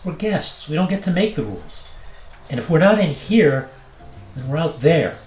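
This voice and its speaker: A woman's voice: low-pitched, very animated, moderate pace, darkish tone, echoey room, faint music behind. A woman playing an anxious, rule-following pilot in an audio drama, speaking with earnest reproach. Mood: tense disapproval. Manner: earnest, reproachful, hesitant under pressure. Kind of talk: naturalistic audio drama acting.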